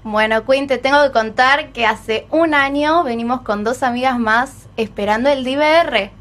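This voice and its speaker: Woman, Argentine accent, fan guest, casual chat, slightly high pitch. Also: normal speed, fairly bright tone. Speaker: fan guest asking questions.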